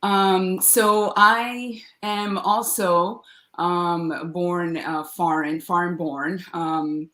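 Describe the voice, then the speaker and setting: A woman's voice: lowish voice, fairly even intonation, extremely slow, a bit bright. Hesitant woman in an echoey room. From Dominican Republic. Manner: hesitant, many fillers and restarts. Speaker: startup founder, podcast guest. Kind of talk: casual interview talk.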